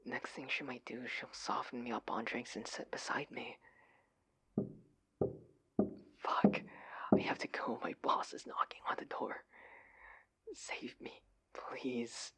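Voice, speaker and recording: A man playing an anxious, adorkable husband in an intimate audio roleplay, speaking in a breathy, pleading manner. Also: on the low side, normal speed, darkish tone. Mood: urgent pleading. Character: adorkable, anxious, oblivious husband, affectionate and flustered.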